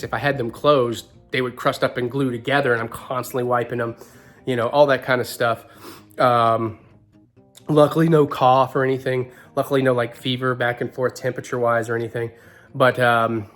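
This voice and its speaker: A man with a blocked-up head cold talks in a loose, rambling vlog style, often breaking into laughter. His voice sits at an ordinary middle pitch, and faint music plays underneath. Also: fairly bright tone. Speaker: vlogger. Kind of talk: casual vlog talk.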